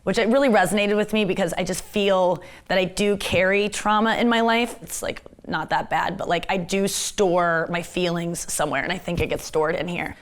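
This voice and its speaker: Husky gravelly-voiced woman talking fast. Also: fairly low pitch, steady tone. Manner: rapid, candid, self-deprecating talk with many fillers. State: strained, injured vocal cords. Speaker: comedian and interview guest. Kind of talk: casual fast-talking interview chat.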